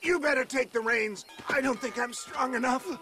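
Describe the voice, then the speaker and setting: An actor playing a wounded, melodramatic Old West swindler with florid speech and an American accent, in a video game. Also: male voice, very high voice, moderate pace, sharp tone, faint music behind. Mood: dying melodrama. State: in pain, weakened. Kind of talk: video game cutscene acting.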